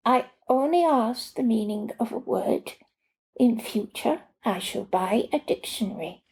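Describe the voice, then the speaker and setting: A woman voicing a young housemaid in a story reading, humble and earnest yet quietly stubborn. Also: fairly high pitch, expressive, measured pace, rough recording. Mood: contrite.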